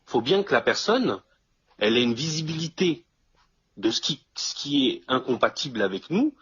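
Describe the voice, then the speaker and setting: A man in casual discussion, explaining step by step and checking that the listener follows, with a husky, rough voice. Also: medium pitch, very animated, normal speed, fairly bright tone. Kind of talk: casual two-person discussion. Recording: dull lo-fi audio.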